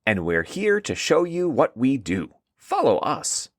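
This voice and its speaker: A man's voice: mid-range voice, wildly swooping pitch, a little slow, dry recording, studio quality, voice pushed up. A man voicing an upbeat, encouraging brainy frontal lobe character who instructs in a children's story.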